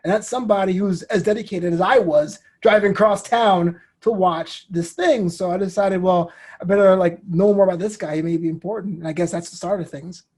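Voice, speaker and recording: A man in his thirties telling stories as an interview guest, expressive and inclined to act out what people said. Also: high voice, a little fast. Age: 30s. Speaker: guest, comedian and show host. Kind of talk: animated interview storytelling.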